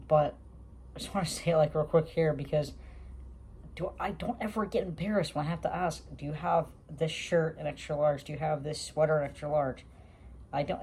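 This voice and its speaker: A man's voice: a little high, a little mellow, breathy edge. A young man talking casually to his viewers, rambling with many fillers and restarts. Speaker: vlogger. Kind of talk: casual talk to camera.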